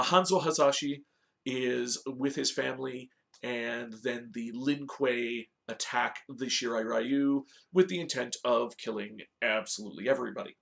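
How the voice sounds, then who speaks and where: A man with a mid-pitched, creaky voice hosts a review in a casual, informal style. He talks conversationally, as if chatting to regular viewers, with a noticeable gravelly fry in his delivery. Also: fairly bright tone. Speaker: host of a video game movie review show. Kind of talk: casual review talk with story recap.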